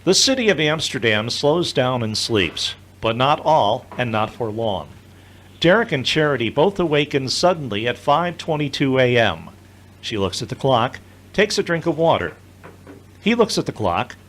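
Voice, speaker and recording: A man's voice: medium pitch, very animated, measured pace, a bit bright. A man reading scripted scene narration for an audio drama.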